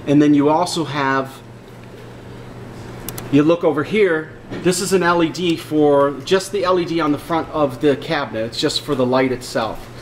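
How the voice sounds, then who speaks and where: A man giving a casual, hands-on equipment walkthrough in a plain-spoken, explanatory way, repeating instructions as he goes. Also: fairly high pitch. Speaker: audio equipment installer giving a tutorial. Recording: clearly noisy room background.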